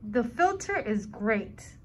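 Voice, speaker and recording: A man's voice: very high voice, big pitch swings, slow pace, a bit bright, a little hiss or hum, pitched above the performer's own voice, exaggerated voice. A man voicing schoolchildren who make wry, worried side comments in a picture book.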